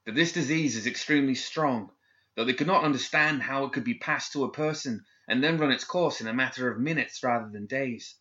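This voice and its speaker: A man's voice: mid-range voice, brisk, sharp tone, highs cut off, voice pushed up, natural voice. A man voicing a nervous young male guard who stammers and hesitates as he reports.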